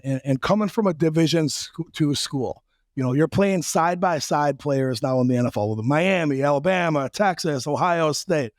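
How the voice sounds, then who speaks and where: A male podcast host whose voice is raspy from a recent trip, a little higher than most men. He talks bluntly and loosely, swears for effect and teases his guest. The sound is dry and close. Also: a little mellow, no echo. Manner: blunt, profane, joking. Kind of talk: casual interview and monologue intro.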